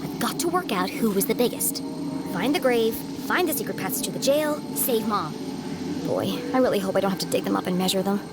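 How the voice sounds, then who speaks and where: An actress voicing a curious, determined young girl detective in a story game, with an earnest, bright delivery. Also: medium pitch, animated, a little fast, loud music behind the voice, lightly acted.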